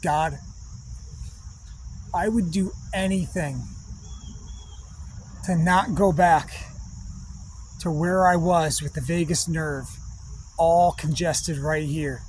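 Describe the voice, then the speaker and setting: A man giving a rambling spiritual monologue in slow, drawn-out, meditative phrases with long pauses. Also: a little high, steady tone, a little mellow, slight background noise, low-quality recording. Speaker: spiritual speaker.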